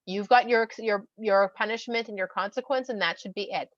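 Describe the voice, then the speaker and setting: A woman as a gentle, patient God. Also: mid-range voice, steady tone, brisk, no room sound, highs cut off, voice pushed down, close to an everyday voice. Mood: fair, kind. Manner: gentle, reassuring, firm when scolding. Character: God, a patient, kindly, then stern parent.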